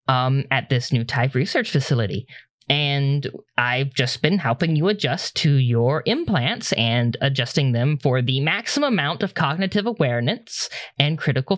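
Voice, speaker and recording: A jittery male lab doctor with a nasal voice, who trips over his words and keeps apologizing, as a man puts him on for a tabletop game. His pitch jumps all over the place, and the room sounds dry and close. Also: fairly bright tone, dry recording. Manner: stammering, fumbling for words.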